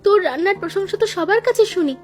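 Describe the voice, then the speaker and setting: A woman voicing a practical young daughter-in-law in a cartoon, talking in a brisk, expressive way. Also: very high-pitched, soft background music.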